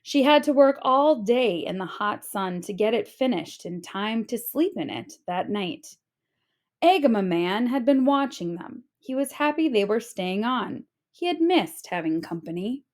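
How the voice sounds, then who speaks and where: A woman narrating a children's storybook aloud in an expressive, deliberate storytelling style. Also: on the high side.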